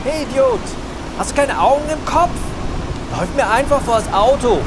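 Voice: high voice, sing-song, normal speed. An actor playing a rude, irate driver hurling insults in an audio drama. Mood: angry. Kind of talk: German audio drama dialogue. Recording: loud street traffic noise, car horn.

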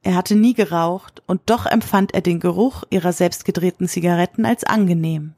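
A woman with a husky voice reads scripted literary narration for an audio drama. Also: on the low side, normal speed, warm tone, dry recording, studio quality.

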